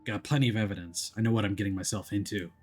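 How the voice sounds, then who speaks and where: A man voicing a stubborn, hard-bitten male detective in a visual novel, with a gruff, terse delivery. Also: on the low side, rapid-fire, darkish tone. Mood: defiant. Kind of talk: visual novel character reading.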